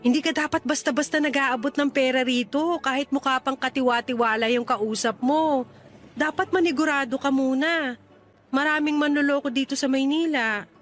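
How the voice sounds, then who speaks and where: A woman's voice: high voice, moderate pace, soft background music, close to an everyday voice. A woman playing a friendly but guarded landlady in her thirties in a radio drama, chatty and teasing. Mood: teasing, frank. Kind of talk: radio drama acting in natural conversation.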